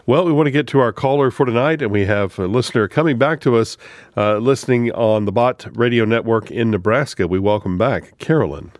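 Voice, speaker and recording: A man co-hosting a radio show, reading announcements in a smooth, steady way. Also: medium pitch, fairly quick, no room sound.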